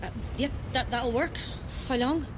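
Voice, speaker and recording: A woman's voice: sits high, a little slow, noisy background. An actress playing an irritable, guarded middle-aged woman on the run, with a foreign accent and a curt, sweary manner, in an audio drama. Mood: evasive. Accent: non-American foreign accent. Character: irritable, evasive escaped convict woman, gruff and impatient. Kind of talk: audio fiction acting.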